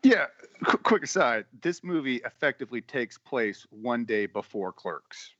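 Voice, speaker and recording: A man's voice: mid-range voice, lively intonation, unhurried, fairly bright tone, no echo, highs cut off. A man co-hosting a podcast, giving blunt, opinionated film commentary in a casual style. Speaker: podcast co-host.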